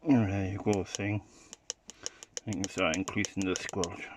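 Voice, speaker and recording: A man with a fairly low voice talks through a gadget as an informal demonstrator. He is matter-of-fact and a little hesitant, feeling his way without a script. There is faint noise in the homemade recording. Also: fairly even intonation, a little slow. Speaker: hobbyist product demonstrator. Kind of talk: informal unscripted gadget demo.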